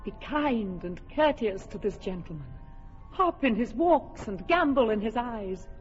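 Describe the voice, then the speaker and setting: A woman's voice: fairly high pitch, animated, measured pace. A commanding fairy queen, light-voiced, lyrical verse, muffled radio sound with music behind. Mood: tender. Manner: lyrical, imperious verse delivery. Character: proud enchanted fairy queen, doting and commanding. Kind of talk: classic radio drama verse acting.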